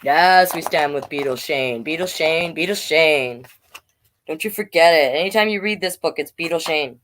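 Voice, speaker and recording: A woman's voice: sits low, moderate pace, fairly bright tone. A woman hosting a children's livestream storytime as a drag king, chatting directly to viewers between pages.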